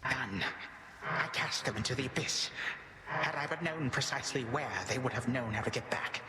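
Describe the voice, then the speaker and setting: Casting: male actor as ancient humbled titan grandfather, mid-pitched, measured and archaic, heard in a reverberant hall over clear ambient noise. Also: lightly acted. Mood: measured, pensive. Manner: formal, archaic, measured phrasing. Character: ancient, repentant titan of time, courtly grandfather. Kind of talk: video game dramatic acting. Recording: echoey hall, noisy ambience behind.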